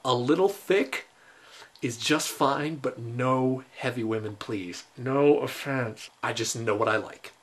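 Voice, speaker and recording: A man in his twenties giving a comedic dramatic reading with theatrical, deliberate phrasing. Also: medium pitch. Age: 20s. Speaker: comic performer. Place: small indoor room.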